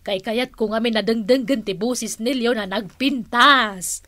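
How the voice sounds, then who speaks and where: Bright, theatrical, unhurried auntie. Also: female voice, on the high side, no room sound, studio quality. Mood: teasing, lovestruck. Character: playful, lovestruck auntie, dreamy and theatrical. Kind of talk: comic radio drama acting.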